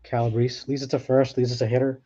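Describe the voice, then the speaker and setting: A man chats offhandedly while opening packs, thinking out loud with quick restarts. His voice is mellow and mid-pitched. The recording sounds dull and lo-fi, like a home setup. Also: level tone, slightly rough. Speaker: card break host. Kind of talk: casual live commentary while opening packs.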